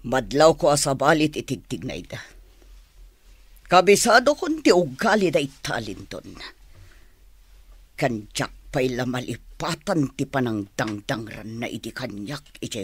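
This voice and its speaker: A man playing a bitter, vengeful schemer with a raspy voice, brooding through a radio drama monologue. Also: on the high side, no echo, full character voice.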